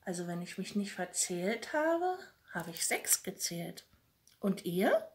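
A middle-aged woman gently reading a bedtime story for children, speaking softly and directly to her little listeners. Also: lowish voice, sing-song, takes it slowly. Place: small room at home. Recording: roomy, echoey home recording.

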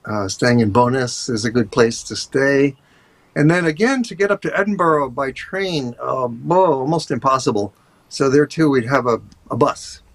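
An older man, a tour guide, chatting over a video call in a relaxed, rambling way with many fillers. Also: mid-range voice. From USA. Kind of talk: casual interview talk. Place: home office, indoors.

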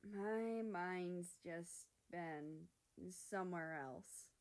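A woman's voice: on the low side, extremely slow. A woman voicing an anxious, distracted young male character in a story, hesitant and sheepish. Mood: distracted, reluctant.

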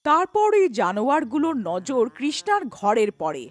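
A woman narrating a scripted animated story as its storyteller. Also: sits high, moderate pace, dry recording.

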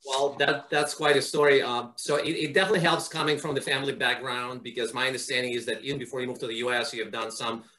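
A man's voice: medium pitch, fairly even intonation, fast talker, hardly any pauses, bright, forceful tone. A middle-aged man hosting an interview over a video call, asking long, wordy questions and praising his guest. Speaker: interview host. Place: home office indoors.

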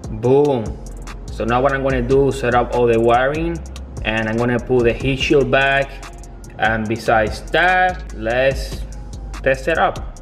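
A young man talking casually in a garage workshop, filming himself on a handheld camera, halting and restarting as he explains his car work. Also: medium pitch, faint music behind. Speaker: car builder.

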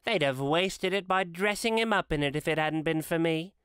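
A man's voice: moderate pace, fairly bright tone, clear, resonant tone, no echo, clean studio sound, natural voice. A man voicing a brash, callous charwoman in a storybook reading, blunt and defiant. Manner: shrill, defiant, working-class bluntness. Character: brash, callous old charwoman selling stolen bedclothes.

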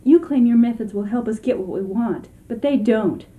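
A woman's voice: fairly high pitch, moderate pace, narrow, tinny audio, lightly acted. An actress playing a lonely, indignant woman protesting in a satirical radio comedy. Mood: accusing, hurt.

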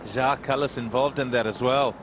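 A male cricket commentator calling a match live, getting loud and excited when a wicket falls. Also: mid-range voice, brisk. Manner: excitable, rising to shouts at wickets. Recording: noisy crowd behind, outdoor broadcast. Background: cheering crowd and ground noise.

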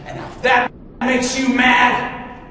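A man's voice: extremely high pitch, completely flat delivery, slow, pushed and bright, exaggerated voice. A man playing a brash, theatrical young TV ghost hunter with dramatic, slangy bravado in a video game cutscene. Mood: defiant taunting. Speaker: paranormal investigator. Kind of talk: live-action video game cutscene acting. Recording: roomy echoing hall, faint noise.

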